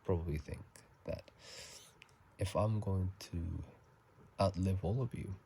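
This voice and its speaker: A man speaking in a soft ASMR whisper and murmur, casual and halting, recorded on a phone microphone. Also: low voice, steady tone, gravelly. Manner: halting, casual, self-correcting. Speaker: channel host. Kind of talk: whispered ASMR game commentary. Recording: faint noise, phone microphone.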